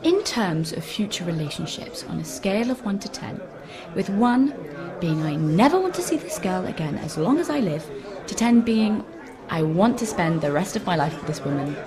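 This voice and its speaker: An actress as a young woman with a lowish voice that swings with expression, heard over busy crowd chatter. She is pedantic and coolly bossy, pressing each question precisely in a comic radio play. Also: sing-song, moderate pace. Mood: indignant, demanding. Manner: precise, methodical, persistent questioning. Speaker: actor in a short comedy play. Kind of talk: comic two-hander radio drama dialogue.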